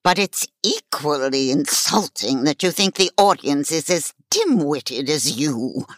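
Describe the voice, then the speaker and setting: Very deep-voiced, pompous narrator. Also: female voice, expressive, unhurried, no room sound. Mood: condescending. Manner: pompous, theatrical. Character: self-important, bickering lead narrator. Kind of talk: storytelling in comic fantasy audio drama.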